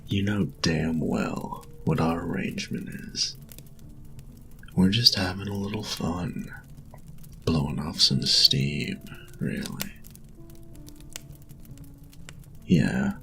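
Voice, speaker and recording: Man playing a very deep, gravelly, smug bully. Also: animated, bright, forceful tone, a little hiss or hum, full character voice. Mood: dismissive. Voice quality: gravelly, often dropping to a whisper. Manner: taunting, breathy, drawn-out delivery. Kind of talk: intimate roleplay audio acting.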